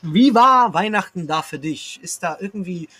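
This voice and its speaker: A man's voice: sits high, animated, normal speed, fairly bright tone. A young man chats casually as a co-host, asking questions and interjecting with teasing remarks. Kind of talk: casual chat. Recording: clear background noise.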